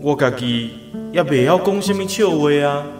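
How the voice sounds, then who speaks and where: Male actor as awkward shy taiwanese photographer guy, radio play, very echoey, guitar music clearly behind him. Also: fairly high pitch, expressive, normal speed, natural voice. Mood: bashful, defensive. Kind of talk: radio drama acting. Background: plucked guitar music.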